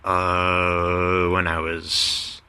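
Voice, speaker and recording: A man of thirty presents his own writing on a podcast. His voice is fairly low and bright, and he takes his time with a very slow, deliberate delivery. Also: completely flat delivery, clear, resonant tone. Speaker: writer reading and explaining own work on podcast. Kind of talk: literary reading mixed with casual explanation.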